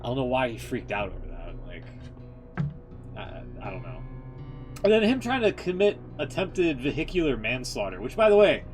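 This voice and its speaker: A man livestreaming casual, rambling commentary on a video game, opinionated, slangy and quick to swear. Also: medium pitch, very animated, over music.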